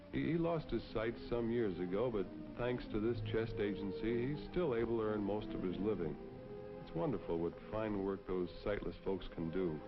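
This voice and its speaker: Man playing a low-voiced folksy American policeman, old film. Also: natural voice. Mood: earnest, warm. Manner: folksy, earnest, gently persuasive. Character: kindly, earnest neighborhood policeman, a man. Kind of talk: 1940s propaganda film acting and narration. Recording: dull vintage film soundtrack, music behind. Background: music.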